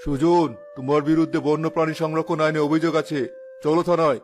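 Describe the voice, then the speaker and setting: A man's voice: fairly high pitch, level tone, fast, darkish tone, natural voice. A man playing a stern village police inspector in a cartoon, speaking in a formal, authoritative way. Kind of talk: animated cartoon dialogue.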